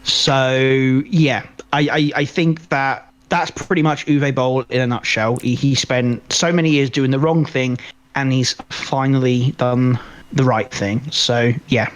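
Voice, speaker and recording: A man co-hosting a podcast in casual film review chat, rambling and halting as he repeats words mid-sentence. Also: mid-range voice, steady tone. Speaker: podcast co-host.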